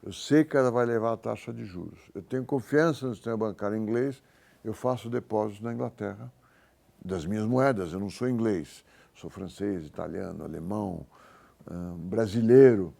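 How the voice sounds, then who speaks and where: A man with a Brazilian accent giving a university economics lecture, informal and halting, thinking aloud and correcting himself as he works through examples. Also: fairly low pitch, gravelly, mellow. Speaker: economics professor.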